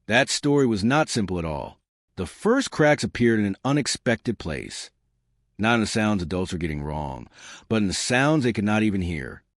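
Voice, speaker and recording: A man narrating a scripted science explainer, measured and deliberate, with slow emphatic short sentences. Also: lowish voice, dry recording, clean studio sound.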